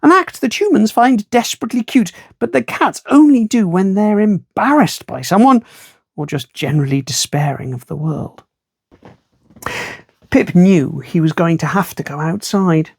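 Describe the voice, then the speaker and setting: A man reading a children's story aloud in an expressive storytime style, with wry asides to the listener. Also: high-pitched, moderate pace, vocal fry, slightly rough, no room sound, clean studio sound.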